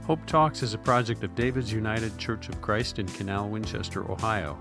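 A man's voice: lowish voice, fairly even intonation, moderate pace. A man reading a formal scripted introduction to a show. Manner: formal announcing. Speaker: show announcer. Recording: voice over loud guitar music.

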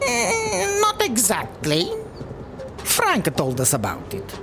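A woman's voice: high-pitched, wildly swooping pitch, slow, creaky, noisy background, heavily acted. A woman playing a flamboyant, melodramatic alien with broken English in a sci-fi comedy audio drama. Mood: whiny, defensive.

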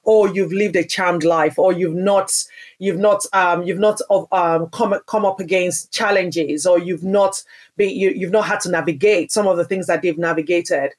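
A woman's voice: on the low side, steady tone, moderate pace. A woman from Nigeria answering interview questions, speaking earnestly and emphatically about her career, often restarting her phrases. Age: adult, parent. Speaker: podcast guest, business founder. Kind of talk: interview answer, personal story.

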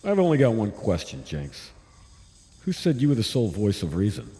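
A man's voice: lowish voice, wildly swooping pitch, normal speed, dark tone. A man playing a cautious, wry scientist in charge of a team in an audio drama. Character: cautious, dry-humoured male scientist team leader. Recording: long reverb, faint background noise.

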